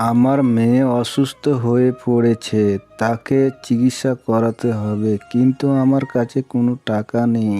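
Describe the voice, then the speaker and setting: A man's voice: mid-range voice, mellow, lightly acted. A man playing a poor, earnest village father in a cartoon, plaintive and pleading, with music behind him. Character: poor, worried village father seeking work, pleading and devout. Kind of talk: cartoon voice acting.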